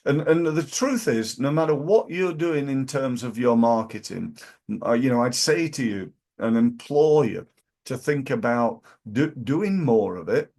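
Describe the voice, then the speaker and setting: Hoarse, gravelly Yorkshire man. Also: mid-range voice, expressive, unhurried, a little mellow. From Yorkshire, England. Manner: emphatic, repeats key phrases, many fillers. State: hoarse from flu. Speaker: business coach. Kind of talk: casual to-camera business advice. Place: office, in front of a backdrop screen.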